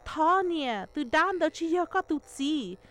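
A woman's voice: high-pitched, very animated, unhurried, fairly bright tone, soft background music, voice pushed up, exaggerated voice. A woman voicing a frightened young girl from a storybook, urgent and pleading in manner.

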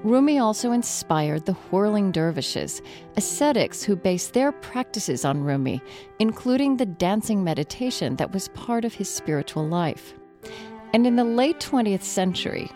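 A woman hosting an interview, reading a scripted introduction and then asking measured, thoughtful questions. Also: mid-range voice, expressive, dark tone, faint music behind. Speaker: radio host.

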